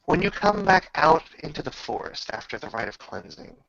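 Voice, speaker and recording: A man acting as game master, telling a tabletop roleplaying story in a measured, grandiose manner with drawn-out pauses. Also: a little high, very animated, gravelly, crowd noise. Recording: slightly dull, roomy home recording.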